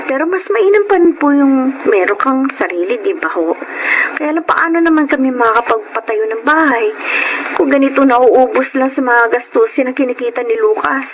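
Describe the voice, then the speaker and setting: A woman's voice: high-pitched, lo-fi sound, slight background noise. A woman playing an earnest, worried young wife and mother, respectful and plaintive, in a Filipino radio drama.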